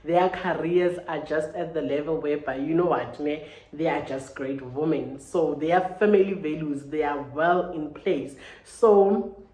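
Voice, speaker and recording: A woman's voice: low voice, measured pace, a little mellow. Woman in her 30s, chatty motivational vlog, keeps saying right? and you know, echoey room. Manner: earnest, repeats "right?" and "you know" often. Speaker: vlogger. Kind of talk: casual motivational talk to camera. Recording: roomy echo.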